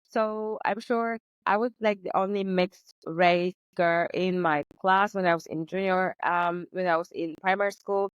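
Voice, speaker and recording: A young woman, speaking in a lowish voice as a guest on a podcast. She talks earnestly and fills her sentences with lots of "like" and "um". The sound is dry and a little muffled. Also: fairly even intonation, unhurried, hardly any pauses, clean tone, dry recording. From Poland. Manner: earnest, with frequent fillers. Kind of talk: conversational interview.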